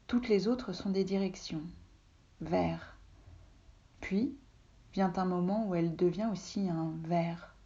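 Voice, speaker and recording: A woman from Russia whose first language is Russian, calmly reading a literary text aloud from her home office, often soft and breathy. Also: lowish voice, lo-fi sound. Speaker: reader, translator.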